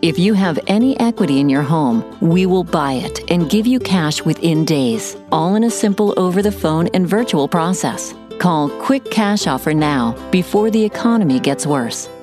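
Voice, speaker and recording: A woman reading a scripted radio ad in a smooth, persuasive sales voice. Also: fairly low pitch, animated, normal speed, few pauses, music in the background. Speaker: ad announcer.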